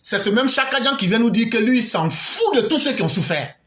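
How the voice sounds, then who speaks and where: A man introducing archive clips in an animated commentary for his video channel. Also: very high-pitched, brisk. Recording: roomy echo.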